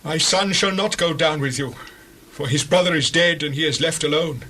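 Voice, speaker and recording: Sorrowful old patriarch, forceful and bright. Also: male voice, a little high, normal speed, a little hiss or hum. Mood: grieving, refusing. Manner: solemn, lamenting. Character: grieving, protective old patriarch father of many sons. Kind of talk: dramatized scripture reading in archaic English.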